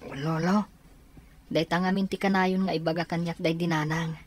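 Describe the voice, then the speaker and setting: A woman's voice: fairly low pitch, fairly even intonation, moderate pace, slight background noise, close to an everyday voice. A woman with an Ilocano accent playing a caring, devoted young student in a radio drama. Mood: grateful, affectionate.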